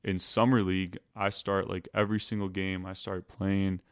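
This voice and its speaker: A young man with a lowish voice that stays quite level and sounds smooth and clean. He tells his story in a laid-back way full of "like" fillers. The sound is dry and close. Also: little pitch movement, moderate pace, dry recording. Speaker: guest. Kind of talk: casual storytelling.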